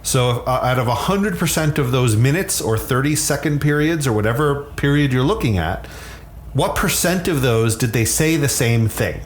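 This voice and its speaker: A man's voice: medium pitch, expressive, moderate pace, a little hiss or hum. A man explaining science conversationally as an expert guest, candid, often repeating words as he starts a thought.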